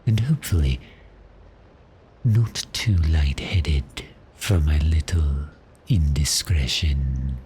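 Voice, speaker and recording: A man voicing a suave, teasing vampire in an ASMR roleplay, speaking in a breathy, seductive half-whisper with polite menace. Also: very deep voice, slow pace, warm tone, slight background noise. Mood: soothing, commanding. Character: cheerful predatory male vampire, suave and teasing. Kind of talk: ASMR roleplay, slow intimate monologue.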